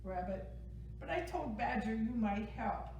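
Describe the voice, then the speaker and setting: A woman's voice: on the high side, expressive, a little slow, creaky, darkish tone, heavy reverb, recorded from a distance, slight background noise, voice pushed up, poor audio. A woman voicing a small female mouse in a storybook, grumbly but anxious and caring, given to gasps and exclamations. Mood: pleading. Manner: gasping, exclaiming.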